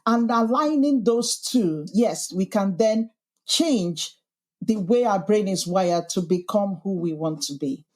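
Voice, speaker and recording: A woman's voice: mid-range voice, slow, darkish tone. A woman with a Yorkshire accent, the podcast host, answering interview questions in an earnest, thoughtful way and building her points as emphatic lists. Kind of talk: reflective conversational interview.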